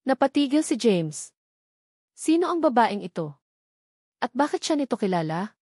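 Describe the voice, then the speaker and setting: A woman's voice: on the high side, big pitch swings, moderate pace, a little mellow, husky, dry recording, clean studio sound. A woman with a Filipino accent reading a dramatic scripted story as an audiobook narrator.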